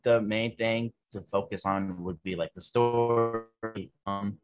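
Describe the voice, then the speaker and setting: A man's voice: lowish voice, fairly even intonation, takes it slowly, soft background music. A man being interviewed about his horror film, talking in a laid-back way with casual swearing and lots of "like" fillers. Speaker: guest, horror filmmaker.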